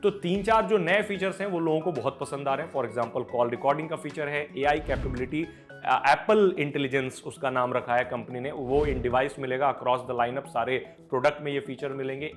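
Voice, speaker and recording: A man's voice: mid-range voice, fairly even intonation, moderate pace. A man with an Indian accent presenting tech news as an informal, unscripted explainer, mixing English words into his speech. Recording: music playing behind the voice. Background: background music.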